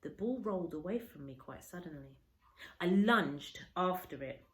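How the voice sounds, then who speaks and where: A woman reading a children's story aloud like a teacher, with expressive, varied emphasis. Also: on the low side. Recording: roomy, echoey indoor sound.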